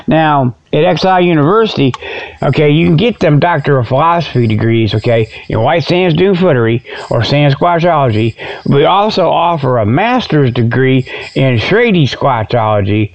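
Male comic radio host: folksy rambler, slightly high, creaky voice, muffled lo-fi recording. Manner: folksy, informal, repeatedly tags phrases with "okay". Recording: dull lo-fi radio sound.

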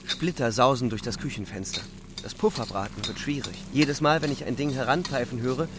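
A man's voice: mid-range voice, brisk, noisy background, lightly acted. Young soldier narrating the war played by a man. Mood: brisk, vivid. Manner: terse present-tense storytelling. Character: young German front soldier recounting the war. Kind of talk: literary radio play narration.

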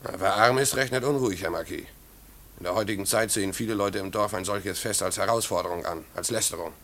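A man's voice: sits low, brisk, fairly bright tone. An actor playing a solemn village man in a 1950s radio play, respectful in address but blunt and firm. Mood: earnest, reproachful.

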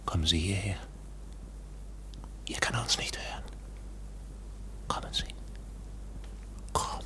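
A man's voice: sits low, fairly even intonation, slow pace, whispered, exaggerated voice. An actor playing an uneasy, polite accused man who keeps asking questions, in a radio drama. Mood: urgent whispering. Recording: loud background noise behind voice.

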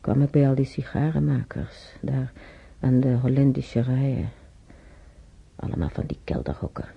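Husky, gravelly old reminiscing man. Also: mid-range voice, animated. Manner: halting, searching memory, muttering to self. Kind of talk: radio drama monologue, halting reminiscence. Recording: muffled old radio drama recording.

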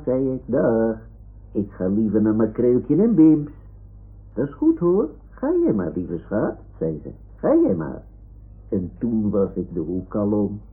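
Radio play role: naive, boastful toy lion, childlike and unhurried, lively pitch, tinny old recording. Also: male voice.

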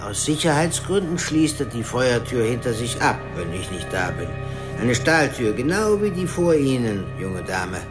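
A man's voice: medium pitch, very animated, normal speed, natural voice. An actor playing an unbothered building doorman in a German radio crime drama, terse and matter-of-fact. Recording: loud music behind the voice.

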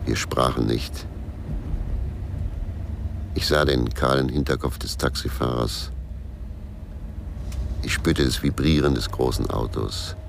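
An actor playing a brooding, weary male ex-policeman in a radio crime drama, gravelly, sombre and measured. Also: very deep voice, fairly even intonation, a little hiss or hum, exaggerated voice. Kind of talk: radio crime drama, introspective narration and dialogue.

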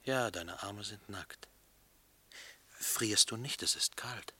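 A brooding romantic in a poetic radio play. The low voice is very bright and forward yet rough-edged and husky, often near a whisper, with deliberate, intimate phrasing. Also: male voice, no echo, heavily acted. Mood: tender, quiet. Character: brooding, dreamy male lover speaking in dark poetic images. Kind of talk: German radio play acting, poetic and hushed.

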